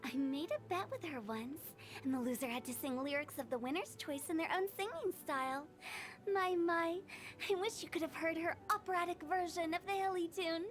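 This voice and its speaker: An actress playing a playful, cheeky young woman in a video game, with a teasing, expressive delivery. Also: very high-pitched, a little fast. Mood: playful, amused.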